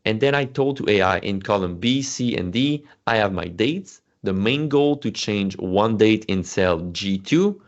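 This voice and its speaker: French-speaking guy demoing spreadsheets, lowish voice, lots of uhs and restarts. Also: lively intonation, unhurried, few pauses, muffled recording. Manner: self-correcting, frequent fillers and restarts. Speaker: Excel instructor presenting. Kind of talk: casual screen-share walkthrough.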